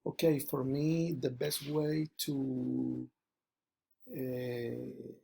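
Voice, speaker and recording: Halting Colombian man speaking slow English. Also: mid-range voice, little pitch movement, creaky, warm tone, breathy edge. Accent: Colombian Spanish. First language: Spanish. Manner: halting, repeats his answers over with many fillers. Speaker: interviewee. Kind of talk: English speaking practice answers.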